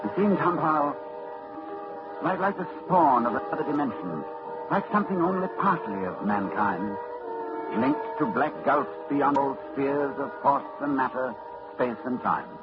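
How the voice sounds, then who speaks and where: An actor playing a learned librarian-doctor who narrates his ordeal in measured, dramatic tones in an old radio play. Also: mid-range voice, animated, natural voice. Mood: narrating. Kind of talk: 1940s radio acting. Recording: dull old radio recording with music score.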